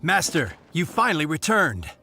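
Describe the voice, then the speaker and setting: A man's voice: on the high side, wildly swooping pitch, normal speed, creaky, pushed and bright, soft background music, exaggerated voice. A man playing a sheepish knight in a video game, eager but hesitant and stammering. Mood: flustered, sheepish. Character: sheepish, flustered male knight on guard. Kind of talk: video game cutscene acting.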